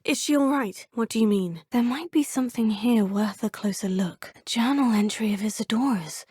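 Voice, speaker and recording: A woman's voice: on the high side, moderate pace, dark tone, slightly rough, no room sound. A polite young witch student. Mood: calm. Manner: courteous, composed, formal. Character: polite, determined young female wizarding student. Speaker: player character voice. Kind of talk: video game dialogue lines. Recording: dry studio booth.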